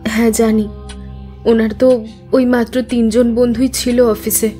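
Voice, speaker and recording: Audio drama role: grieving young widow female actor, slightly high voice, terse and choked-up under questioning. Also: little pitch movement, a little slow, dark tone, faint music behind, lightly acted. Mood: guarded. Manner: curt, guarded, choked-up answers. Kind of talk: scripted audio drama acting.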